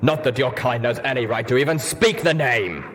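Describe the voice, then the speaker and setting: A man's voice: mid-range voice, normal speed, fairly bright tone, in a large hall, a little hiss or hum, voice pushed up, lightly acted. Man as a stern, suspicious armored soldier stallion. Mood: indignant. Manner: stern, accusatory. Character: gruff, suspicious male armored pony war veteran. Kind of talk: audiobook character voice.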